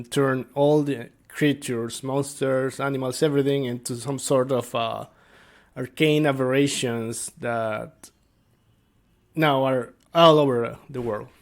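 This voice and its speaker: A man talks informally to viewers as a game master explaining his game. His delivery is slow and hesitant, often searching for the next word. The voice sits at a middling pitch with a noticeable creak. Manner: halting, hesitant, searching for words. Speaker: tabletop game master and video creator.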